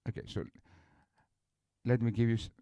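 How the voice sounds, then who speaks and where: A man's voice: medium pitch, level tone, normal speed, mellow, clear, resonant tone, no room sound. Boastful rambling man on a stream. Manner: boastful, rambling with many restarts. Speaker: streamer host. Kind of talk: casual live-stream talk.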